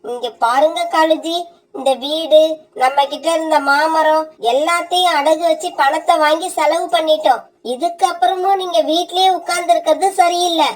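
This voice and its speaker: A woman plays a grasping young wife bird in a cartoon who bosses her husband around. She scolds in a very high, piercing voice. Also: fairly even intonation, normal speed. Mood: bossy. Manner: scolding, bossy. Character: greedy young wife bird, sharp-tongued.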